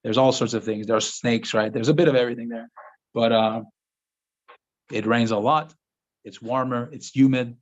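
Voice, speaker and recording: A man whose first language is French chats loosely through a live-stream Q&A, his mid-pitched voice full of "you know" and "right?" as he restarts his sentences. The audio is a little dull and muffled, like a modest live-stream setup. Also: moderate pace, long pauses. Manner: relaxed, rambling, with frequent fillers and restarts. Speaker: host of a live Q&A. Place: home office in Southern Ecuador.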